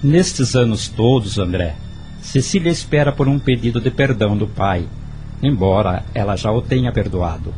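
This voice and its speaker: An actor playing a firm, protective husband in a radio drama, speaking deliberately and solemnly. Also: male voice, lowish voice, expressive, darkish tone, faint music behind, natural voice. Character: Celso, firm, protective husband advising his wife.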